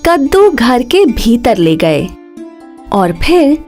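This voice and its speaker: A woman tells a children's tale in a lively, swooping voice at an unhurried pace. She speaks as a measured storyteller, with music playing softly behind her. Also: fairly high pitch. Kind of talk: scripted children's story narration.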